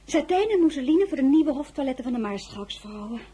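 An actress playing a weary but composed crown princess in a radio drama, courteous and sometimes hesitant. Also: female voice, high voice, animated, brisk, dark tone. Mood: pleased.

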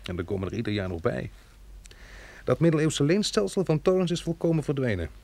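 An actor playing a steady, resolute man who leads survivors in a radio drama. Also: medium pitch, a little fast, gravelly, no room sound. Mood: earnest, reflective. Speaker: lead actor and narrator in a radio play.

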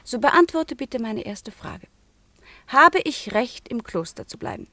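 A proud young noblewoman in a radio drama. Her voice is fairly high and dryly recorded, earnest and composed as she probes. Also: sing-song, unhurried, sharp tone, dry recording, heavily acted. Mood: earnest probing. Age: young adult. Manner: probing, composed, direct. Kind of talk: theatrical radio play acting.

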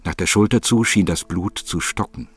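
A man with a husky voice narrating literary fiction in a measured, solemn manner. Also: deep voice.

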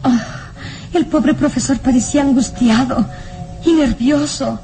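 A high-voiced, anxious young noblewoman. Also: a little slow, mellow, faint music behind. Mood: worried, puzzled. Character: anxious young noblewoman, sheltered, fretful daughter of a baron. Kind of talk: melodramatic old radio serial acting.

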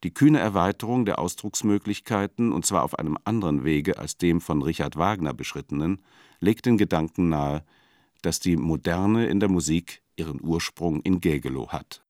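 An actor playing a learned, earnest editor who reads out biographical commentary in a dry, pedantic, formal manner in a radio play. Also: male voice, sits low, moderate pace, darkish tone, no room sound, close to an everyday voice. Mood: earnest, scholarly.

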